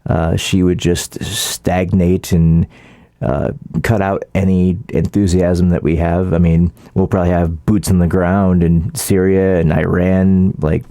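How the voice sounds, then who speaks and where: Deep, gravelly man talking politics casually. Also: little pitch movement, mellow, no echo. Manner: halting, informal, rambling. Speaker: political vlogger. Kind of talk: casual opinionated commentary.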